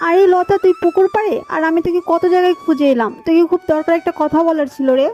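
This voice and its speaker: A woman's voice: very high voice, fast talker, warm tone, muffled recording, faint music behind. A woman voicing a meek, dutiful teenage village girl in a cartoon, speaking in a plaintive, resigned way. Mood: urgent. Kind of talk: Bengali cartoon voice acting.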